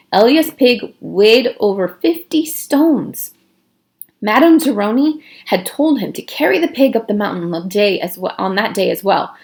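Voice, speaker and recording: A woman narrating a children's novel aloud in an expressive storytelling style. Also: fairly high pitch, vocal fry.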